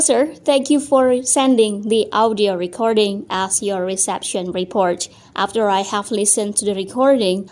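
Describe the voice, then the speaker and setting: A woman with a fairly high voice answers a listener's letter on air. She reads politely and warmly, in a scripted radio style. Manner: polite, friendly reading. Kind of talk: scripted listener-mail segment.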